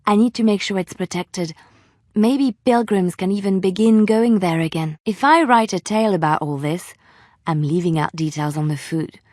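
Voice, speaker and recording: An actress voicing a gentle, devout young woman adventurer in a video game, soft-spoken and earnest, by turns wistful and playful. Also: medium pitch, moderate pace, no echo, clean studio sound, lightly acted. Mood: teasing. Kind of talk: video game voice acting, intimate dialogue.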